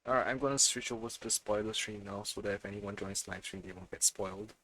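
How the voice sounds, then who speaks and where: A man's voice: lowish voice, quick pace, bright, forceful tone. A man doing casual let's play commentary on a livestream, often muttering to himself as he plays. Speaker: game livestreamer.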